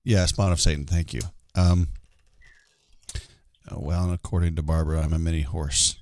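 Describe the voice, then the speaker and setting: A hoarse man co-hosting a live show, chatting casually and laughing often. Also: deep voice, little pitch movement, moderate pace, darkish tone. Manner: laughs a lot. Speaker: co-host.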